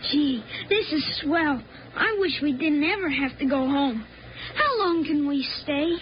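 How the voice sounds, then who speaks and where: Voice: high-pitched, lively intonation, measured pace. A performer playing an eager, polite, curious little boy in a 1950s radio drama. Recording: dull 1950s radio recording, faint noise.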